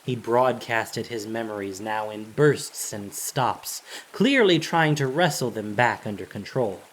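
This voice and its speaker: Slow, dramatic male story narrator. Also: fairly low pitch, lively intonation, slight background noise, low-quality recording.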